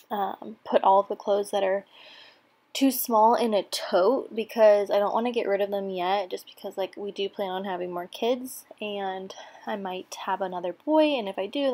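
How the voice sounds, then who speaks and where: A woman's voice: medium pitch, a little mellow. A young woman in her twenties, from San Diego, chatting casually and upbeat as she does laundry at home, rambling with ums and likes, recorded on a camera mic that is sometimes held at arm's length and sometimes across the room. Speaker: mom vlogger. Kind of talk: casual chat over household chores. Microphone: camera mic, sometimes handheld at arm's length, sometimes from across the room.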